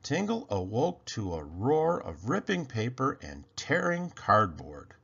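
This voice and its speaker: A man with a gravelly, husky voice narrating a children's story in an expressive read-aloud style. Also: medium pitch, slow pace, dull audio. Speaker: storybook reader.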